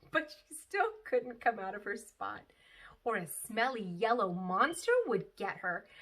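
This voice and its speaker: A woman's voice: medium pitch, bright, forceful tone, exaggerated voice. A woman reading a children's storybook aloud as a bold, chatty little kindergarten girl, emphatic and exclamatory. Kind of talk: expressive children's read-aloud.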